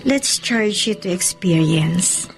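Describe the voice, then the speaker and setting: An actress playing a gentle, resolute bride-to-be in a radio drama, speaking in a composed, sincere and measured way. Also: female voice, fairly low pitch, animated, poor audio. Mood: gently firm. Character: gentle, resolute bride-to-be forgiving her former love. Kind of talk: Filipino radio drama acting. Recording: faint music behind the voice.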